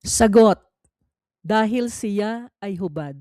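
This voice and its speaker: A Filipino woman narrating a scripted read-along Bible quiz in a measured, deliberate way with pauses between phrases. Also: mid-range voice, warm tone, dry recording, studio quality.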